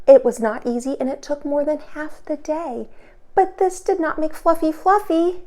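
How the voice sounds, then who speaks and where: A woman teacher hosting a children's storytime, reading aloud expressively with dramatic pauses and emphasis. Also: high-pitched, warm tone. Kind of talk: animated children's read-aloud.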